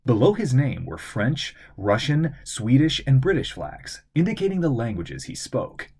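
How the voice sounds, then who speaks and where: Husky man narrating a children's adventure story. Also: mid-range voice, unhurried. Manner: expressive, theatrical storytelling. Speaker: audiobook narrator, voice actor. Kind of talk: scripted narration of a children's adventure novel, with character voices.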